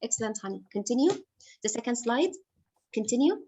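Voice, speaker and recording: A woman's voice: fairly high pitch, expressive, dark tone, breathy edge, rough recording. A woman teaching English on a video call, patiently correcting a student's writing and calling him honey. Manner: patient, explains slowly, repeats corrections, calls student honey. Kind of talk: online class correcting a student.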